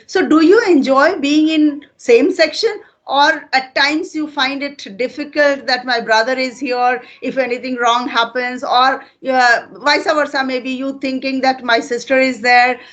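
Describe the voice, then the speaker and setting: Retired Indian teacher, older woman, Hindi native, patient and unhurried, slightly higher voice. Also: a bit bright. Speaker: retired teacher and channel host. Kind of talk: casual interview conversation.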